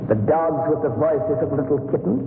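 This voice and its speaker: A man plays a mad scientist in a 1930s radio thriller, his voice a little high. He gloats theatrically and with mock courtesy, full of contempt for humanity. The old recording is tinny, noisy and very echoey. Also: fairly even intonation, moderate pace, natural voice. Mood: gloating menace. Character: mad scientist, misanthropic villain gloating over captive.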